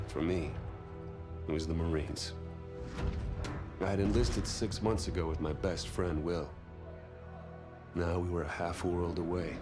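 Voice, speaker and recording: An actor playing an earnest young US Marine, narrating a video game story in a somber, reflective way. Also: male voice, low voice. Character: young earnest male US Marine looking back. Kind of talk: scripted first-person game narration. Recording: clear music behind voice.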